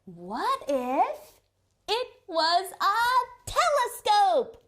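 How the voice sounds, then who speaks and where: A woman hosting a children's show, giving simple, encouraging instructions in an expressive way. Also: extremely high pitch, drawn-out words. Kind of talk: instructional kids' show presenting.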